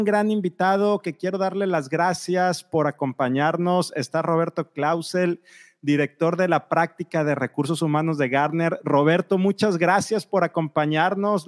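A man hosting a live online interview show, chatty and upbeat, often using fillers. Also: on the high side. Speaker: host. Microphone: video call.

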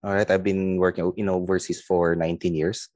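Middle-aged male guest, Filipino accent, deep register, dry recording, conversational delivery. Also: level tone, a little fast, a little mellow, no room sound. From Philippines. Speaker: sales lead, interview guest. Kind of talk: casual interview chat.

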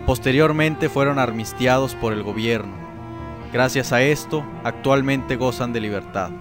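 A man's voice: medium pitch, normal speed, music in the background. A man with a Mexican accent narrating a scripted radio chronicle in a formal, serious manner.

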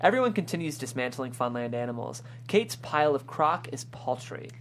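A woman's voice: very deep voice, expressive, normal speed, soft background music. A woman narrator reading screenplay stage directions at a table read.

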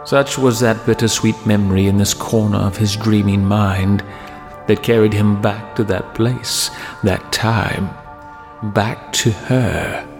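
A man hosting a horror audio series, narrating in a measured, ominous and theatrical manner. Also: on the low side, fairly even intonation, over music.

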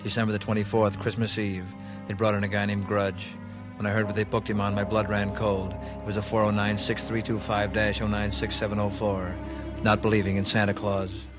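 Actor as a deadpan radio detective, low monotone. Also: male voice, fairly quick, over music, close to an everyday voice. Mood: grim, deadpan. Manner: clipped, terse, deadpan delivery. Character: deadpan, weary male homicide police detective, parody radio cop. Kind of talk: 1950s radio police drama parody narration and dialogue.

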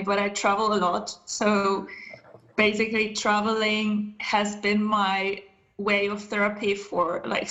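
A woman in her thirties from Poland, a podcast guest answering questions about herself with many pauses and restarts. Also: mid-range voice, a bit bright, muffled recording. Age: 30s. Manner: hesitant, many ums and restarts. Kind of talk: casual interview answers.

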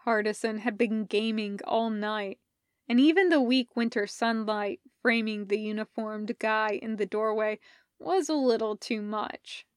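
A woman's voice: medium pitch, fairly even intonation, a little slow, smooth, no echo, studio quality. A woman reading a story aloud as scripted narration. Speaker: podfic reader. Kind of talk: scripted narration of fan fiction with acted dialogue.

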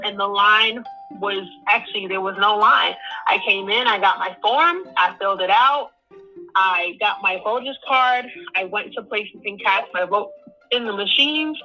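A woman with a bright, forward tone tells her story in a loose, chatty way, filling pauses with ums and uhs. Faint music plays underneath. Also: mid-range voice, rough recording. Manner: chatty, rambling account with many fillers. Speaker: voter interviewee. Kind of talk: recorded testimonial.

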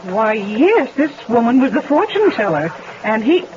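A woman's voice: fairly high pitch, very animated, a little slow. An actress playing a flustered, genteel woman witness who speaks hesitantly. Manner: fluttery, hesitant. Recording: dull old radio broadcast.

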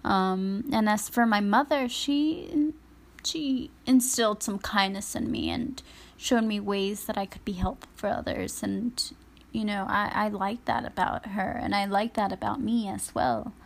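A woman's voice: mid-range voice, a little slow, vocal fry. A woman speaking reflectively about her upbringing, hesitant, with many fillers. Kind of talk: reflective personal testimony.

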